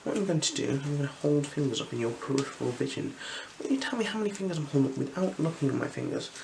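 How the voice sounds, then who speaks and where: Creaky voice, soft-spoken ASMR, whispered phrases, soothing eye exam role-play, background noise. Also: mid-range voice, animated. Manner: gentle, unhurried, soothing instructions. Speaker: ASMR performer playing an eye examiner.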